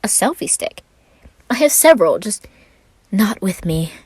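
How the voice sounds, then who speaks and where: A woman's voice: medium pitch, sing-song, measured pace, darkish tone, slightly rough, no echo, pitched above the performer's own voice. A woman voicing an exuberant, theatrical young man in a fan fiction reading, with a dramatic, effusive delivery. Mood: delighted, gushing.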